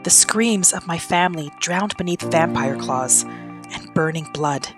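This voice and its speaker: Vengeful vampire hunter played by a woman, low and solemn, dramatic game intro over loud guitar music. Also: measured pace, natural voice. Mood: grim, haunted. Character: vengeful vampire hunter orphaned as a child, grim and resolute. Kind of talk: dramatic scripted narration, game roleplay intro.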